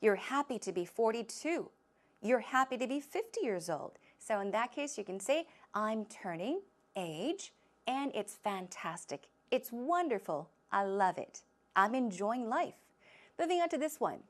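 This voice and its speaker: A woman with a Korean first language teaches English phrases in a clear, teacherly way. Her voice sits fairly high and moves a lot in pitch. She slows right down on each model sentence so the learner can follow. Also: big pitch swings. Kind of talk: language lesson explaining expressions.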